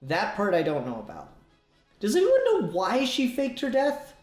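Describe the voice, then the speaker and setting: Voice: medium pitch, huge pitch swings, moderate pace, a little mellow, faint music behind. A podcast co-host in casual comic book discussion, talking emphatically, repeating words and swearing. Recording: roomy, echoey sound.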